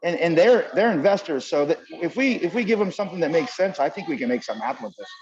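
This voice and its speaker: A quick, talkative man joins the video call, his voice pitched a bit high. He speaks casually and loosely, and his audio comes through dull and lo-fi. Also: expressive, noisy background. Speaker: real estate business partner.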